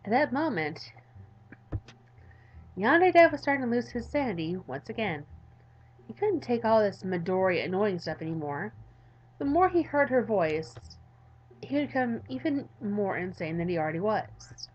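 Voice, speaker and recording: A woman's voice: mid-range voice, very animated, moderate pace, long pauses, dull audio. A tired woman reads a visual novel aloud in a let's-play, dropping in informal, playful asides. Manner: informal, playful, self-interrupting.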